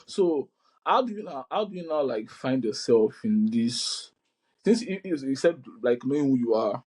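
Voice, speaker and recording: A man's voice: mid-range voice, lively intonation, moderate pace, darkish tone, slightly rough. A man hosting a casual podcast over a video call, talking loosely with many fillers and restarts. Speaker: podcast host.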